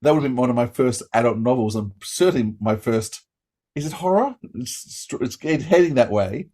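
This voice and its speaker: A man's voice: medium pitch, animated, normal speed, dark tone. A middle-aged man from Australia, hosting a casual interview and talking with eager, rambling restarts. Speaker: podcast host.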